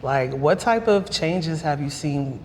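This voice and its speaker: A woman in her forties, a comedian, chatting on a talk panel in a relaxed, conversational way. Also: deep voice, slow. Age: 40s. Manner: relaxed, conversational, many fillers. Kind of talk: candid panel chat. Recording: reverberant room with faint noise.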